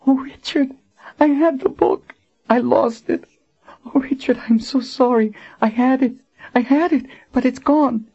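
A man's voice: very high-pitched, level tone, takes it slowly, darkish tone, husky, pitched above the performer's own voice, exaggerated voice. A man voicing a badly wounded young woman who cries and pleads in panic. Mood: pleading. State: crying, breathless. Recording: dull lo-fi audiobook recording.

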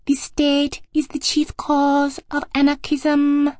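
A woman plays a soft-spoken sect member in a dramatized audiobook. Her voice is high and flat in melody, closely recorded. She recites in a measured, deliberate way. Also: no echo, studio quality. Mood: measured reciting.

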